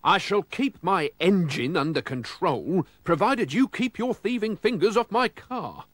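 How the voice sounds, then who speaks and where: Man as a commanding older gentleman, pitched up. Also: lively intonation, a little slow, no echo, full character voice. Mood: firm warning. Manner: exclaiming, commanding. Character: brisk, bold older gentleman motorist, commanding and fair.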